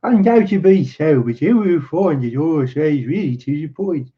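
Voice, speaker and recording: A man's voice: fairly high pitch, lively intonation, normal speed, voice pushed up, close to an everyday voice. A man voicing a secretive, insistent German colonel in his late thirties from a storybook, speaking formally and guardedly. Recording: dull, muffled lo-fi recording.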